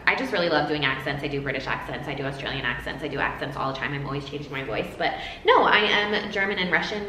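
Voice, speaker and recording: A woman's voice: mid-range voice, fairly bright tone, far from the mic. Brief: young American woman, bubbly and jokey, swooping inflection, roomy echo. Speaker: YouTuber answering fan questions. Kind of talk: playful self-interview vlog. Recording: roomy echo, faint noise.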